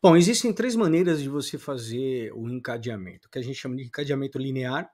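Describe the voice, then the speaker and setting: A man teaches an online course lesson. He talks at a middling pitch in a loose, explanatory way and often breaks off to restart with "é" fillers. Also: lively intonation, brisk, darkish tone. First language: Portuguese. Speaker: course instructor.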